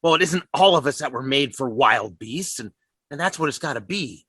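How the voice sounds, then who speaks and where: A ragged survivor of the militia, bright and sharp-toned, his voice swinging up and down as he rambles and stammers through his plan. in a radio play, recorded close and dry with no room sound. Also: medium pitch, lively intonation, normal speed, husky, dry recording. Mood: scheming. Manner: rambling, stammering, scheming bravado. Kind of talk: radio drama acting.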